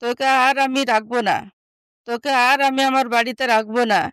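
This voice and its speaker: Woman playing a harsh, high-voiced older stepmother. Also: fairly even intonation, brisk, a bit bright, clean tone, dry recording, clean studio sound, close to an everyday voice. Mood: furious. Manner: wailing, scolding. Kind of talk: animated moral story dialogue.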